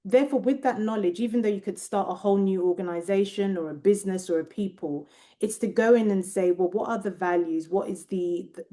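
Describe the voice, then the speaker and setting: A British Nigerian woman with a British accent, a researcher talking thoughtfully in an interview and often pausing to find her words. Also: mid-range voice, mellow. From Nigeria and Britain. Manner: thoughtful, searching for words, many restarts. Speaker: guest researcher. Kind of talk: reflective interview conversation.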